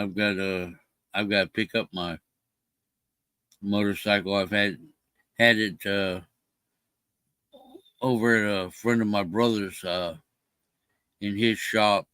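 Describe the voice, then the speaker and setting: A man's voice: on the low side, bright, forceful tone, clean tone, no echo, low-quality recording. An older man chatting casually on a livestream, rambling with many fillers and restarts. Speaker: livestream co-host. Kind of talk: casual chat.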